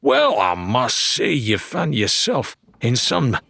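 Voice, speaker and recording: Grandiose pirate salesman swindler for an audiobook, slow showy delivery, pitch all over, slightly husky. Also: huge pitch swings, sharp tone, no echo, heavily acted. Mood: jovial, ingratiating. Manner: theatrical, patter-like salesmanship with drawn-out flourishes. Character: grandiose, blustering male swindler of a pirate weapons dealer. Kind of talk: dramatised audiobook character dialogue.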